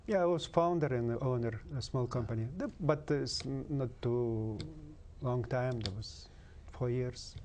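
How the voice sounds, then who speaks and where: A man in his fifties with a Russian accent, a native Kalmyk speaker, answering interview questions haltingly with many pauses and fillers. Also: medium pitch, a little mellow, slight background noise. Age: 50s. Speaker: guest.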